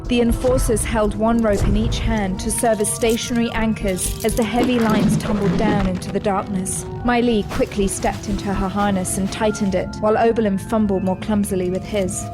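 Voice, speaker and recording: A woman narrating a scripted sci-fi audio drama in a measured, descriptive storytelling manner. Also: mid-range voice, fairly even intonation, over loud music, lightly acted. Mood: composed suspense. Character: composed female storyteller narrating a sci-fi adventure.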